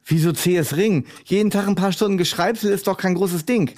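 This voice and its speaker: Man putting on a high, quacky, sulky sidekick. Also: fairly quick, a little mellow, natural voice. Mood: teasing, clueless. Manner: grumbling, belittling. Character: dim, sulky, dismissive male sidekick with a squawky voice.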